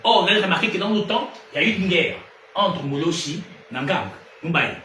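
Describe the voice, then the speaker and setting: Gabonese french man, preacher, fairly high voice, echoey hall, off-mic, noisy. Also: expressive, brisk, distant mic. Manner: rhetorical questions, swings between loud and hushed. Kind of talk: sermon.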